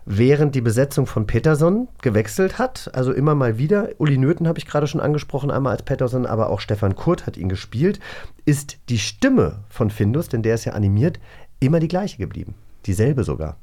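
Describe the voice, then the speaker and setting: A man's voice: medium pitch, big pitch swings, brisk, dark tone. Informal, jokey male co-host. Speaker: podcast co-host. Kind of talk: casual radio magazine chat.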